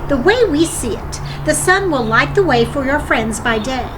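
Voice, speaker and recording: A woman's voice: high voice, expressive, moderate pace. Woman as a wise old island woman, noisy recording. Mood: reassuring.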